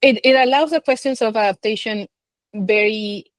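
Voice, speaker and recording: A woman's voice: on the high side, normal speed, no echo. A woman speaking as a scholar being interviewed, thinking aloud in an informal, hesitant, conversational way. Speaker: film scholar and guest.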